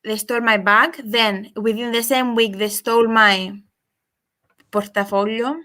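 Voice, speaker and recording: A young woman from Cyprus, Greek her first language, speaking English in a bright, fairly high voice. She talks casually on a call, stopping and restarting often and filling gaps with "uh". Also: steady tone, measured pace. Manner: hesitant, with many restarts and fillers. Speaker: caller.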